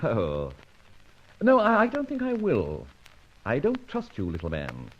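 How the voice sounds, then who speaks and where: Suave young british masked avenger, mocking and menacing, voice swooping about, muffled old radio sound. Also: male voice, mid-range voice, huge pitch swings, unhurried. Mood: commanding. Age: young adult. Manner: mock-polite, wry, commanding. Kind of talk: old-time radio detective acting. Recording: dull vintage radio recording.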